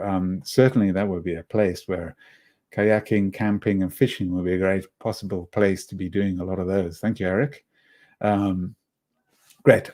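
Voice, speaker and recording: A man's voice: low voice, warm tone. A man with a slight South African accent, presenting a live online course, encouraging and praising each participant's comment while he rambles and restarts his sentences. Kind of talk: live webinar teaching.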